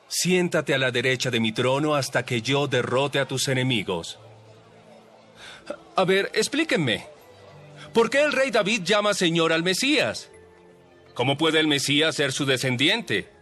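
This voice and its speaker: An authoritative religious teacher, acted by a man, instructs his followers in an audio Bible drama. The male voice sits slightly high and is emphatic and didactic, over faint music. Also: pushed and bright, heavily acted. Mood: questioning.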